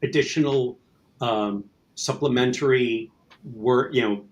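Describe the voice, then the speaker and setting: A man's voice: medium pitch, measured pace, poor audio. A man talking casually as a guest writer and producer in a conversation. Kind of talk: casual chat.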